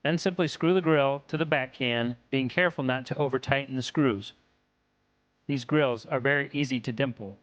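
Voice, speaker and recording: A man's voice: mid-range voice, a bit bright, no echo. Man narrating, dull dry lo-fi sound. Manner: measured, instructional. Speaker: instructional video narrator. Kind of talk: scripted technical narration.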